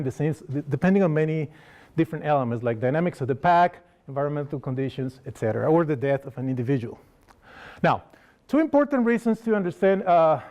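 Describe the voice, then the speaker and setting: A man's voice: a little high, darkish tone. Spanish-accented man giving a public talk. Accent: Costa Rican Spanish. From Costa Rica. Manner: conversational, asks the audience questions, frequent restarts. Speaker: wildlife sanctuary educator giving a public talk. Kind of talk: public lecture to an audience. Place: lecture hall.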